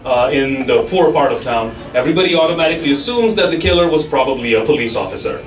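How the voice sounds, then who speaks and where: A young man doing a stand-up set on a club stage with a handheld mic, delivering mock-authoritative rules and quick asides. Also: mid-range voice, lively intonation, very noisy. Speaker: stand-up comedian. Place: comedy club stage, audience laughing. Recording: echoey club from far off, loud audience laughter.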